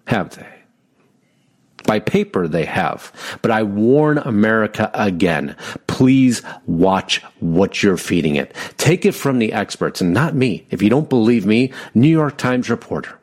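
A man's voice: on the low side, a little mellow, breathy edge. A man hosting an online broadcast, giving impassioned, emphatic commentary on news articles, reading them aloud and answering them with sarcasm.

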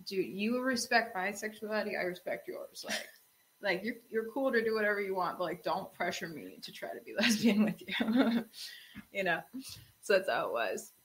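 A young woman co-hosting a casual podcast chat, telling relaxed stories and laughing often. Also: medium pitch, normal speed, low-quality recording. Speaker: co-host.

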